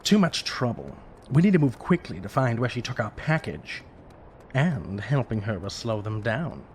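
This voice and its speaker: An actor playing a cold, cruel weather mage in an audio drama, speaking with smug, deliberate menace. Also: male voice, mid-range voice, big pitch swings, slight background noise. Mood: sullen, defiant.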